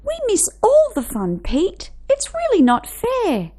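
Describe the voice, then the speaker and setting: A woman voicing a curious, lively little storybook boy. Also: extremely high pitch, slow pace, voice pushed up, heavily acted. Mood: excited, conspiratorial. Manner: wonder-filled, conspiratorial. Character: curious, excitable little boy whispering to his teddy. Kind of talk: children's storybook character reading. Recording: music behind the voice.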